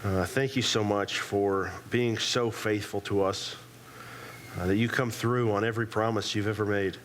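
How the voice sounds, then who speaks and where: A man's voice: on the low side, steady tone, normal speed, long reverb tail, slight background noise. A pastor with a cold and a congested voice, talking casually to his church with many "uh"s and restarts, praying and telling a family story. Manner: conversational, frequent "uh" fillers and restarts. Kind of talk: sermon with prayer and personal story. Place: church sanctuary.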